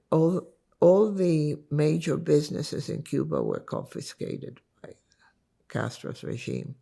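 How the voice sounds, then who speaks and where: An older woman from Lithuania whose first language is Yiddish, recalling her life story in an interview in an unhurried, reflective way. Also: very deep voice, creaky, darkish tone, breathy edge. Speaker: interviewee giving testimony.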